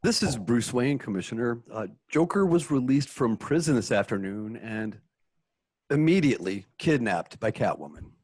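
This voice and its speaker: Man playing a composed polite hero, husky voice, dry sound. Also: mid-range voice, normal speed, no echo. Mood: calm. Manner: calm, polite. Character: calm composed wealthy man and masked hero.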